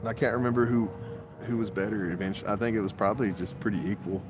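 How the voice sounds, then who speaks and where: A man's voice: on the low side, fairly even intonation, fast, over music. A man in his forties talking in a rambling monologue that jumps from topic to topic, with frequent swearing. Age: 40s. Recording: outdoor traffic noise.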